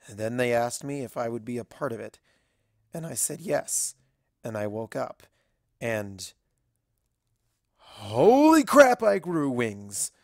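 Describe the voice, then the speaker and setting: A woman's voice: extremely low pitch, big pitch swings, slow pace, pauses often, a little mellow, no room sound, clean studio sound, heavily acted. A woman voicing an anxious, bookish young female cartoon pony princess who tells her story in a wry, fretful, dramatic way. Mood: wry, uneasy, then flustered, overwhelmed. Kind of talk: fan fiction audio reading in character.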